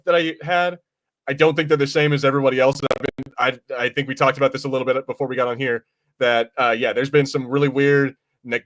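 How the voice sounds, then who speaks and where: A man's voice: medium pitch, fairly bright tone. A man hosting a podcast in casual chat, talking in an informal, rambling, self-correcting way. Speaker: podcast host.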